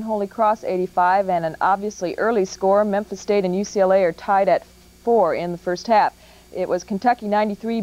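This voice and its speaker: A woman's voice: mid-range voice, a little mellow. American woman anchor on muffled old tape. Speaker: sports anchor. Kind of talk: scripted TV newscast sports scores.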